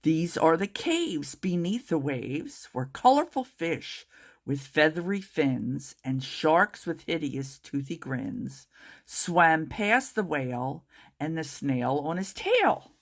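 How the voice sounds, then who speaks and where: A woman reading a children's picture book aloud in an expressive, drawn-out storytelling manner. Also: deep voice. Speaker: storytime reader.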